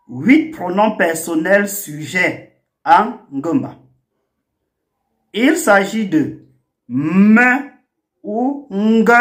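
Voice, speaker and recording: A man hosting a language lesson channel, slowly and deliberately spelling out words one at a time. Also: high-pitched. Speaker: language teacher and channel host.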